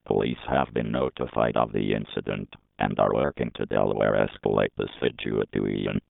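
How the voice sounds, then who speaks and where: A man's synthetic-sounding gravelly voice reading a scripted emergency alert in a stilted, mechanical way. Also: very deep voice, little pitch movement, normal speed, no room sound. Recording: narrow phone-like alert system audio.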